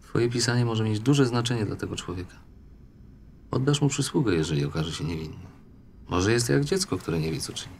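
An actor playing a calm official who persuasively talks about a suspect. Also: male voice, medium pitch, brisk, gravelly, a little hiss or hum. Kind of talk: film acting.

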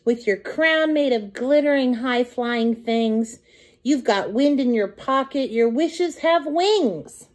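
A middle-aged woman reading a children's picture book aloud in an expressive, deliberate, sing-song way. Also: sits high. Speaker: children's storytime reader.

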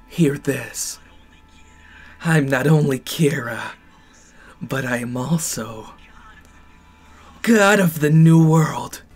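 A man voicing a manipulative, megalomaniac young anime boyfriend in a dramatic ASMR roleplay, deliberate and coldly controlling. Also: medium pitch, creaky, husky, heavily acted. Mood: menacing.

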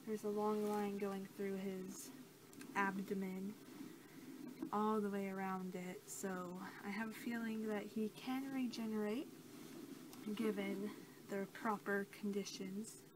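A young woman doing soft-spoken ASMR roleplay as a scientist, talking in a casual, rambling, hesitant way. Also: mid-range voice, level tone, slow pace. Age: young adult. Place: small cluttered room indoors. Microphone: camera mic at about arm's length. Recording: clearly noisy amateur camera audio.

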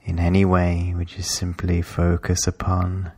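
A man leading a guided meditation in a calm, measured, instructional way, with long pauses between phrases. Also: low-pitched, slightly rough, dry recording.

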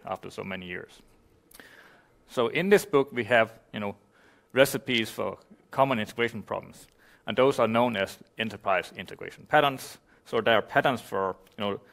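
A man from Denmark, giving a relaxed technical conference talk and explaining with many "you know" fillers. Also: on the low side, creaky. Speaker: conference speaker. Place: conference room.

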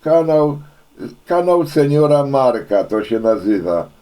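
Slow, mellow older man chatting. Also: medium pitch, big pitch swings. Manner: folksy, chatty, frequent filler phrases and repetitions. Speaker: amateur radio operator, hobby video maker. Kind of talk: rambling casual radio chat.

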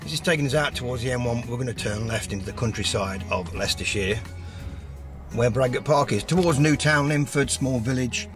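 A man with a rough, hoarse voice, chatting in a rambling way as he drives and vlogs. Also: mid-range voice, fairly quick. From England. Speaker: vlogger. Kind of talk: casual driving commentary. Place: inside a car while driving. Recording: loud music under the voice.

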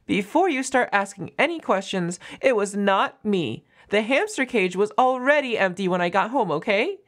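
A woman's voice: medium pitch, expressive, normal speed, natural voice. A woman voicing a defensive, flustered young half-wolf girl roommate in an audio roleplay, stammering and evasive with a whiny manner.

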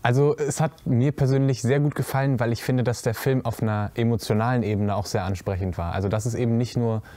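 A young man on a discussion panel, speaking in an articulate, measured way with some fillers. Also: medium pitch, steady tone, dark tone, no room sound. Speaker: youth panelist. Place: indoor studio set with curtains.

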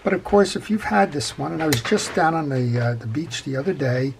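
A man's voice: a little high, brisk, gravelly, a little hiss or hum. A middle-aged man giving an unscripted hands-on repair tutorial in a methodical, plain-spoken way at an indoor workbench. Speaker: fishing reel repair instructor.

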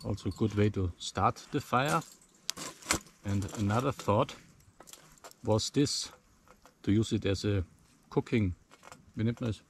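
A man's voice: lowish voice, unhurried, pauses often, background noise. German-accented man explaining outdoors. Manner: explains step by step, plain simple phrasing. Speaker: bushcraft instructor. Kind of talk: outdoor demonstration vlog. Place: outdoors in a forest.

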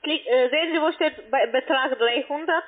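High-pitched woman on the phone. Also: normal speed. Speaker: call centre sales agent. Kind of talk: unscripted sales phone call. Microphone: telephone. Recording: muffled tinny phone line.

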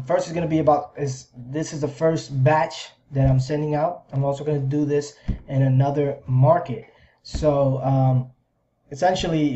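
A man in his thirties talking through a screen-share explainer casually, in a halting, repetitive way as if thinking aloud. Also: a little high, mellow. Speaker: video host sharing a business plan. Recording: slightly dull, lo-fi microphone sound.

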